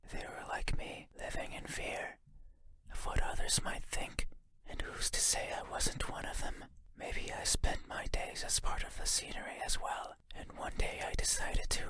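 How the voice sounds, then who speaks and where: A man voicing an ageless, lonely, eerie creature in a game, in a breathy unvoiced whisper with a halting, stiff, introspective delivery. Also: very high-pitched, sharp tone, exaggerated voice. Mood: wistful, eerie. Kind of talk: whispered monologue in a horror game.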